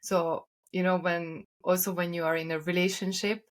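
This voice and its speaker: A woman with a slightly low voice talks casually as a podcast co-host. She is reflective and a little halting, reworking her phrases as she goes. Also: flat delivery.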